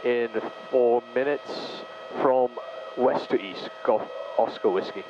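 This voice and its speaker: A man, a private pilot, making courteous, measured procedural radio calls on a headset in a small aircraft. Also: medium pitch. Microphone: aviation headset. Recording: muffled cockpit audio with engine noise.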